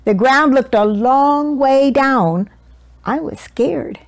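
An older woman reads a picture book aloud. Her voice sits fairly high and she takes her time, giving the narration a deliberate, dramatic storyteller's delivery. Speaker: children's storybook reader. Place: small cosy living room indoors. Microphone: small clip-on lapel mic.

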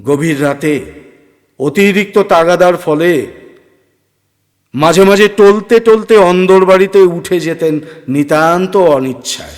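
A man narrating a horror story with theatrical, deliberate phrasing and dramatic pauses. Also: sits high. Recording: long hall reverb, music underneath.

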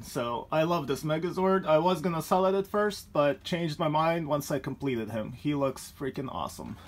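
A man in his thirties giving a casual, rambling walk-through of his collection room, filmed on a handheld phone. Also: a little high. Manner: rambling, many ums and uhs, chuckles. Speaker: collector showing his toy pickups. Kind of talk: casual vlog chat. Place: cluttered collection room indoors. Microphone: handheld phone camera, walking around.

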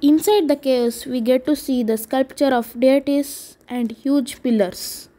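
A woman narrating a travel vlog in a measured, explanatory voice-over. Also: sits high, dark tone, soft background music. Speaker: travel vlogger. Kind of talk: scripted narration.

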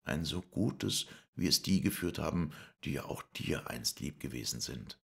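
A man's voice: a bass voice, animated, normal speed, creaky, a bit bright, exaggerated voice. A man voicing an earnest young man who pleads tenderly with the woman he loves. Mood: pleading.

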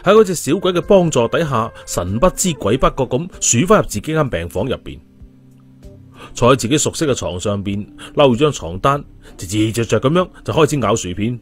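A man's voice: mid-range voice, big pitch swings, brisk, soft background music. A man narrating an audiobook story in a storytelling style.

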